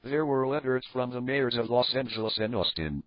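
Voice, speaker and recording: A man playing a sober news anchor, reading a scripted crisis report in a formal, measured way. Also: mid-range voice, expressive, dry recording, close to an everyday voice. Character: sober adult male news anchor of alternate-history crisis. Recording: dull lo-fi narrow band.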